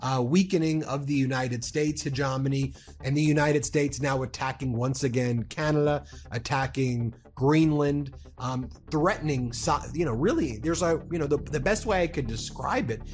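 A man's voice: mid-range voice, moderate pace, hardly any pauses, slightly rough. Hesitant man commenting on news. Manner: hesitant, with fillers and restarts. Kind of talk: reading and commenting on posts.

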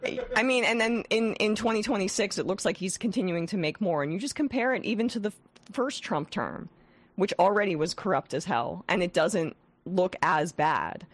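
A woman hosting a political talk show. She has a head cold with some vocal fry and talks in a scathing, animated way with frequent restarts. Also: mid-range voice, normal speed, a little hiss or hum. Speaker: host.

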